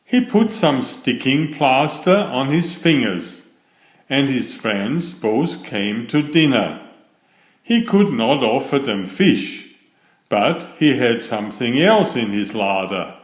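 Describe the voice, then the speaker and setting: A man reading a children's story aloud in an expressive, deliberate, dramatic manner, stretching key words. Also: mid-range voice. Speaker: children's story narrator and author. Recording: roomy, echoey sound.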